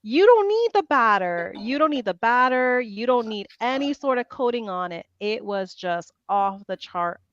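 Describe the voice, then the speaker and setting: A woman guest in a casual chat, telling a story in an animated way and quoting her own thoughts. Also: on the high side, moderate pace, few pauses, no echo, lo-fi sound.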